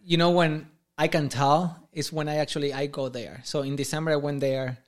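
A man from Argentina, native in Spanish, speaking English with a strong Argentinian accent in a casual podcast chat, telling stories in an animated, exclamatory way. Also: a little high, fairly quick. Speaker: podcast guest.

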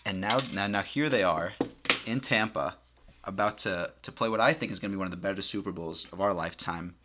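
A man's voice: deep voice, fairly quick, heavy background noise. A young man in his twenties co-hosting a casual podcast, speaking in an easygoing way with frequent "um" and "uh". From United States. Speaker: podcast co-host.

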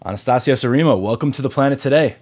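A man hosting an interview show, reading a smooth scripted introduction and asking questions conversationally. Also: medium pitch, rapid-fire, a little mellow. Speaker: podcast host.

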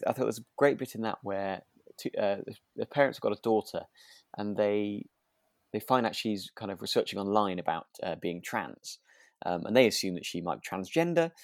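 A man's voice: on the low side, vocal fry, no room sound, clean studio sound. A man with a British accent chatting casually as a podcast co-host, hesitantly repeating words while thinking aloud. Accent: British English. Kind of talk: casual chat, discussing a radio drama.

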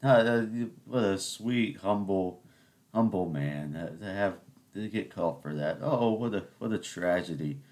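A man's voice: low voice, lively intonation, a little slow, a little mellow. A man hosting his own podcast at home, talking in a rambling, sarcastic way and getting worked up. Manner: keeping voice down early on. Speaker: podcast host. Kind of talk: casual solo musing. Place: home, in the morning.

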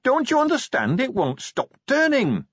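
A man voicing an excitable male inventor who talks in breathless exclamations. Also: high-pitched, slightly rough, no room sound, voice pushed up, exaggerated voice. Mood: excited. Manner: rapid, exclamatory. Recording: slightly dull, dry studio read.

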